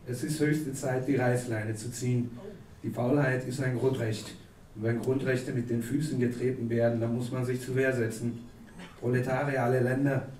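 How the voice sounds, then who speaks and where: A man in his thirties, a native Turkish speaker, reading a comic poem with deadpan delivery on a theatre stage at a stand mic. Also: medium pitch. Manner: deadpan satirical delivery, chatty asides with fillers. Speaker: poetry slam performer. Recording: echoey hall from a distance, audible noise. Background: audience laughter.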